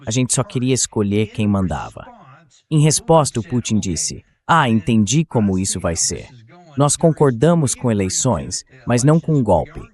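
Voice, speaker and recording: A man's voice: fairly low pitch, sing-song, moderate pace, a little mellow. Brazilian man explaining things in an interview. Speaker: interview guest giving political commentary. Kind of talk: translated interview voice-over.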